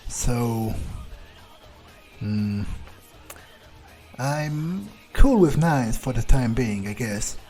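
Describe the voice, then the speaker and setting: Casting: male gamer, medium-pitched, improvising in character with halting delivery over faint game music. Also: expressive, dark tone. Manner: hesitant, halting, profane. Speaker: gamer role-playing a vampire character. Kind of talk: in-character game playthrough, thinking aloud.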